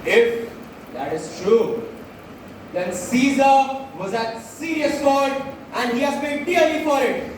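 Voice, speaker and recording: A young man playing a grieving, slyly persuasive Roman orator in a school stage play, declaiming with emphatic pauses. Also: very high-pitched. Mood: solemn, ironic. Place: school stage, recorded from audience distance. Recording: echoey hall, distant mic, noisy.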